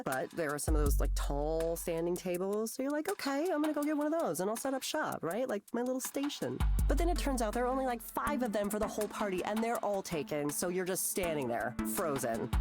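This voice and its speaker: A woman's voice: fairly low pitch, darkish tone, natural voice. An actress playing an anxious, wry young woman who rambles hesitantly through a conversation in a video game. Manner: hesitant, rambling, self-deprecating. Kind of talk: naturalistic conversational game voice acting.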